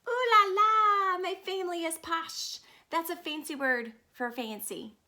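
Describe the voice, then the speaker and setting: A woman plays an enthusiastic little girl telling her own storybook tale in a high voice. She is dramatic and in love with all things fancy, proudly explaining grand words. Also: unhurried, sharp tone, full character voice. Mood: excited.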